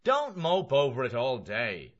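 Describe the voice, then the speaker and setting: A man's voice: mid-range voice, huge pitch swings, a little slow, lo-fi sound, pitched above the performer's own voice. A man voicing a brash, mocking young male medical student in an audiobook, theatrical, declamatory and boisterous. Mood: breezy, teasing. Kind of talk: literary audiobook character voice.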